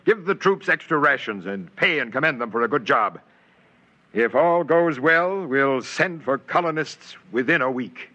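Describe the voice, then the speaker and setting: Raspy, excitable alien commander, old radio. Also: male voice, mid-range voice, a little slow. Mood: brisk, commanding. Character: eager, confident male alien military commander invading a creature. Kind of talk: mid-century radio drama acting. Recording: dull vintage radio recording.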